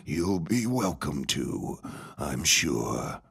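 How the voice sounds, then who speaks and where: An actor playing a menacing, scheming old reverend in an audio drama, husky and pompous in his deliberate delivery. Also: a bass voice, very animated, a bit bright, full character voice. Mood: sinister scheming. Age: older. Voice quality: husky, raspy.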